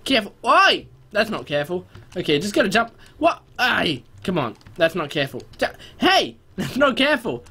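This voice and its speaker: A young man giving casual, excitable gameplay commentary in an animated way, often interrupting himself. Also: sits high, normal speed, slightly rough. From born in New Zealand, spent first three years there. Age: young adult. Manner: animated, self-interrupting, prone to exclamations. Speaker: gaming video host. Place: small room at home, indoors.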